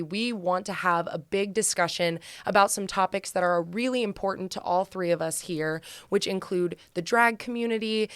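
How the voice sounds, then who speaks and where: A bubbly, enthusiastic woman co-hosting a podcast, her voice smooth and a little low. Recorded close and dry in a studio. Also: no room sound. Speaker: podcast co-host. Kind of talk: casual podcast chat.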